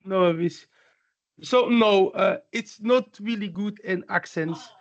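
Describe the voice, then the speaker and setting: Guy on a video call, kinda high voice, stops and restarts a lot, dull sound. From Belgium. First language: Flemish Dutch. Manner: halting, restarts phrases. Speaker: guest speaker. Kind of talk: casual webinar talk.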